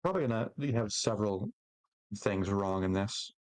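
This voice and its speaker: Mid-pitched friend on a dry call mic, stop-start playful talk. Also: expressive, no echo. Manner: halting, restarts, playful. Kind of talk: casual group chat.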